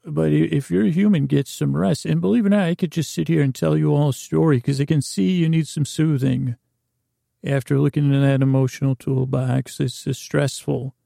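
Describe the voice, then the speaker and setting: Super creaky guy doing a sleepy bedtime podcast, really dark relaxed voice, kinda meandering and halting. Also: on the high side, dry recording, clean studio sound. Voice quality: gravelly, creaky. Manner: halting, meandering, repeats and trails off. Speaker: podcast host. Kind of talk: rambling, soothing bedtime monologue.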